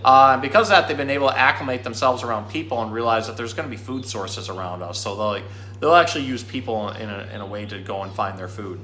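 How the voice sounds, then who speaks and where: Male zookeeper presenter, thirties, informal and explanatory, bright forward voice, roomy sound. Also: medium pitch, fast, a little hiss or hum. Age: 30s. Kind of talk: casual educational talk and storybook reading. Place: small indoor room at a pet store. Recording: echoey room.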